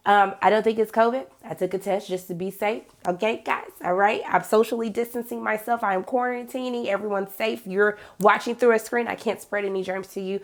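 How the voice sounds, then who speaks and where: Woman with a cold, voice all raspy and hoarse, chatty recap, lots of ums, mid pitch. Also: steady tone, a little fast, hardly any pauses. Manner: chatty, many filler ums, occasional throat clearing. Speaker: TV series reviewer and channel host. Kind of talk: casual episode recap.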